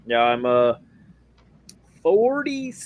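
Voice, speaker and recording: A man's voice: on the high side, very animated, drawn-out words, muffled recording, a little hiss or hum. A man in his forties, chatting casually on a stream panel with a laid-back, self-mocking manner. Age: 40s. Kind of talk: casual chat.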